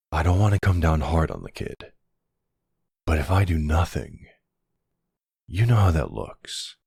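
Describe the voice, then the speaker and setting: Casting: male actor as middle-aged mob boss for intimate audio roleplay, extremely low and husky, smooth talker with menace and courtly charm. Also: a little mellow, no echo, clean studio sound, exaggerated voice. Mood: surprised, conciliatory. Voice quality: gravelly. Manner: smooth-talking, folksy tough-guy drawl of endearments and slang. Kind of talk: intimate ASMR boyfriend roleplay.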